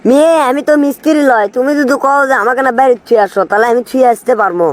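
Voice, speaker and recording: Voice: sits high, rapid-fire, close to an everyday voice. An actor voicing a flirtatious village man in a comic cartoon skit, speaking with a northern Bangladeshi Bogura accent and breaking into song. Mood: comic grumbling. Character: flirtatious village man serenading women on the road.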